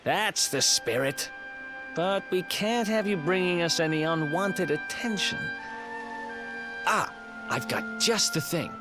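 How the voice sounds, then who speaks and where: A man's voice: high voice, expressive, slow, low-quality recording. A man voicing a cocky, streetwise young thief in a video game, with a brash, breezy, conspiratorial manner. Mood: confident, persuasive. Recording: loud game music behind. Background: game music.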